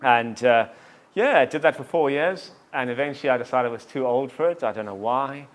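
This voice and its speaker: A man, a tour company founder telling his story in a relaxed, self-deprecating way, full of fillers and asides. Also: medium pitch, fairly quick. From South Africa. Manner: self-deprecating, jokey, rambling with many fillers. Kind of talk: casual interview storytelling.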